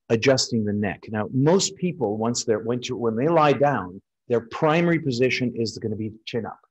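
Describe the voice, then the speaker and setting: An American man, middle-aged, lecturing as a surgeon in an informal, explanatory style with a slightly husky voice. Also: fairly low pitch, poor audio. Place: indoors, on a video call over slides.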